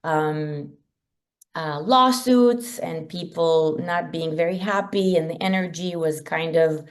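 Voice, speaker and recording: A woman's voice: sits low, slow pace. Israeli woman telling her founder story. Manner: earnest, frequent ums and uhs, personal storytelling. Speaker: company founder and guest speaker. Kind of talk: webinar talk.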